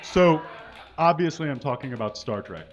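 A man giving a comic reading on a small stage at a stand mic, deadpan and mock-earnest. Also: a little high, moderate pace, highs cut off, loud music behind the voice. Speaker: guest reader. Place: small stage with curtains and brick wall.

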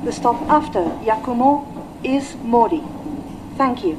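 Female recorded train announcer, unhurried, medium register, played in a noisy carriage. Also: a little high, animated. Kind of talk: pre-recorded scripted announcement. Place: played over speakers inside moving train.

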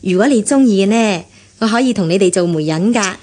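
An actress playing a kindly, chatty, meddling senior housekeeper with a coaxing manner in a radio drama. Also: female voice, mid-range voice, expressive, brisk, darkish tone, natural voice. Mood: teasing, coaxing. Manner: coaxing, cajoling. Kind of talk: Cantonese radio drama acting.

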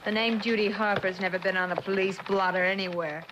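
A woman's voice: medium pitch, fairly even intonation, brisk, dull audio, close to an everyday voice. A young woman playing a brash, streetwise teenage girl in an old television drama, sassy and challenging. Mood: indignant. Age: young adult. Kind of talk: 1960s television drama acting. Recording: noisy old TV soundtrack.

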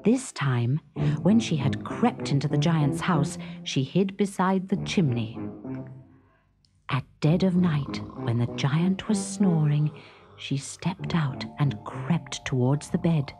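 A woman narrating a children's story in a dramatic, expressive, suspenseful storybook style. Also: low-pitched, takes it slowly, music in the background.

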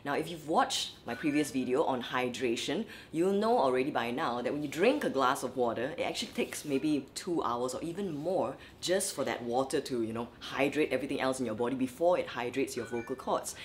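A woman's voice: very deep voice, a little fast, few pauses. Chatty young woman vocal coach, scratchy. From Malaysia. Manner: lively, chatty, many fillers. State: dry scratchy voice. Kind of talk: instructional vlog.

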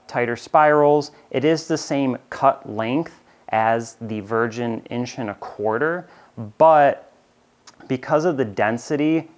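A man in his thirties with an American accent, presenting a clear, steady explainer in a bright studio on a lapel mic. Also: medium pitch. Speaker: company presenter. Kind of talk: product explainer. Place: bright studio with shelves of plush toys.

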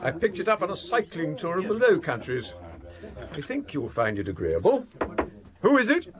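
Courteous English provost, animated delivery. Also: male voice, high-pitched, crowd noise. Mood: hospitable. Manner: donnish, formal, measured. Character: courteous, scholarly older Cambridge provost and ghost-story teller. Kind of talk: period British radio drama.

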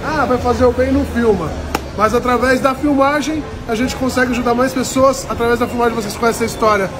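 A man's voice: extremely high pitch, steady tone, quick pace. A man in his thirties giving an earnest, preachy talk to viewers in an electronics store, filmed on a phone at arm's length. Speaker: charity vlogger. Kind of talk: motivational vlog talk. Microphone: phone held at arm's length. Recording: music and store crowd behind.

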